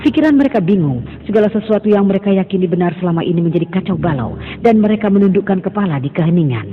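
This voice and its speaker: Solemn male storybook narrator, fairly high voice, tinny phone-like sound, music under. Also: expressive, rapid-fire.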